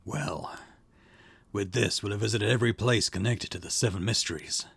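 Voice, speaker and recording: A man voicing a gruff, weary older chief detective from a visual novel, with a rough, terse delivery. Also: lowish voice, moderate pace, fairly bright tone, no room sound, full character voice. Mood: grim, weary. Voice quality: gravelly, rough. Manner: terse, stern.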